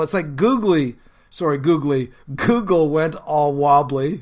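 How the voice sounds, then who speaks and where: A man hosting his own podcast as a pastor, talking in an earnest, conversational way with frequent fillers, his voice lowered by a bad cold. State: has a bad cold, voice lower than normal. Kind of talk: reflective solo podcast talk.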